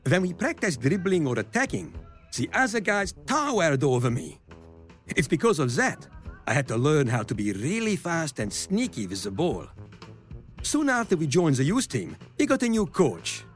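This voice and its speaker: A man's voice: a little high, huge pitch swings, moderate pace, faint music behind. An actor playing a grown football star who fondly and wryly tells of his boyhood. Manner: fond, wry first-person storytelling.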